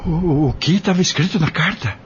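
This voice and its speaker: A man playing an insistent, plain-spoken farm labourer with a husky voice in a Brazilian audio drama. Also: fairly high pitch, fairly quick, slight background noise, natural voice. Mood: curious, startled. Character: curious, impatient male farm labourer with a grievance. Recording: dull lo-fi audio drama with music.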